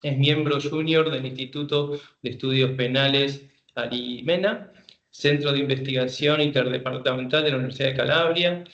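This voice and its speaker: A man introduces a seminar speaker, first reading a formal biography and then easing into a casual welcome. His pitch is ordinary for a man. The recording sounds reverberant and dull. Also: fairly even intonation, moderate pace, fairly bright tone. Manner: reads a bio, then loose and informal. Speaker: seminar moderator. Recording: echoey room, muffled lo-fi sound.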